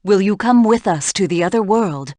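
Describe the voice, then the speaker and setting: A woman's voice: mid-range voice, expressive, warm tone, no echo, natural voice. An actress playing a composed, gracious female ruler in a video game, speaking formally. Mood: solemn, gracious. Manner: formal, measured. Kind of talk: scripted game drama.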